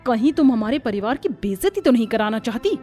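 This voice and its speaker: A woman's voice: a little high, animated, fairly quick, mellow, soft background music. A woman voicing a haughty, scornful rich mother-in-law in a Hindi moral-story cartoon.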